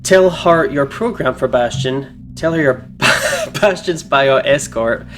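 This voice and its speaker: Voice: medium pitch, slow pace, a bit bright, slight background noise. A game streamer giving casual, playful and reactive commentary while reading a visual novel's narration aloud. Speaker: game streamer, let's play host.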